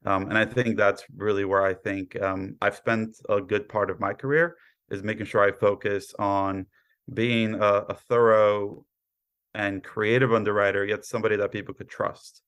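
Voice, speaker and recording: A man's voice: on the low side, steady tone, normal speed, fairly bright tone, smooth. An American man in his thirties answering interview questions conversationally, in a modest, explanatory way. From Brooklyn, New York, born in Poland. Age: 30s. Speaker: podcast guest, cyber insurance professional.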